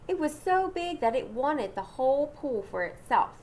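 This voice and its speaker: A woman's voice: sits high, big pitch swings, moderate pace, a little mellow, a little hiss or hum. A woman reading a children's storybook aloud dramatically, with asides and questions to her young listeners. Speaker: storybook reader.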